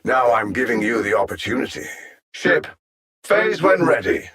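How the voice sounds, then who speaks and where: A man playing a cold, superior alien machine conqueror in a video game, articulate and haughty, savoring his menacing taunts in a gravelly, husky voice. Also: medium pitch, very animated, normal speed, a bit bright. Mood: sneering taunts, then imperious command. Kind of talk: theatrical video game villain acting.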